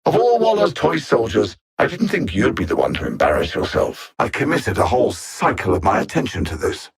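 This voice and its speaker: Cold smug alien overlord from a video game, husky voice, theatrical and formal, pitch swoops around a lot. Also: male voice, sing-song, a little fast, exaggerated voice. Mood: cold, arrogant. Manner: haughty, theatrical, precise and formal. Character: arrogant, coldly condescending alien machine-minded conqueror villain. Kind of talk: video game villain dialogue.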